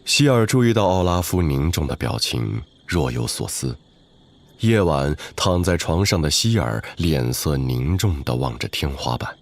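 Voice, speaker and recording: Deep-voiced dramatic male story narrator. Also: very animated, unhurried, dry recording.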